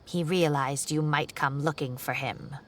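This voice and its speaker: A woman's voice: sits low, steady tone, unhurried, heavy background noise. An actress playing an elderly woman professor, genteel and fretful, with old-fashioned exclamations. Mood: worried. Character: elderly woman professor, fussy and kindly worried.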